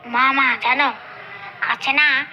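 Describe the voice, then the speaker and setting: A woman's voice: sits high, fairly even intonation, slow pace, dull audio, background noise. A woman voicing a small, whiny young bird child who tattles to mother, in a cartoon dub. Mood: accusing, plaintive.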